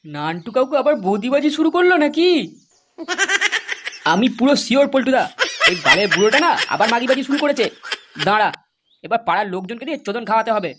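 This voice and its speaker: A man's voice: extremely high pitch, big pitch swings, fast talker, long pauses, background noise. A man voicing a crude, quarrelsome village man in an adult comedy cartoon, brash, foul-mouthed and exaggerated. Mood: gossipy.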